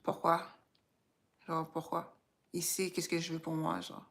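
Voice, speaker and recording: A woman with a Québécois French accent, chatting casually and reflectively as a livestream host. She is informal and unhurried, thinking aloud as she goes. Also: low-pitched, little pitch movement, a bit bright. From Quebec, Canada.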